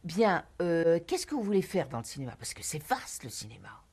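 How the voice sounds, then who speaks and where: A lofty condescending film teacher, low husky voice that swings wide, film drama. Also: female voice, big pitch swings, moderate pace, darkish tone, full character voice. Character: haughty, self-important female film teacher. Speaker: private film and art teacher.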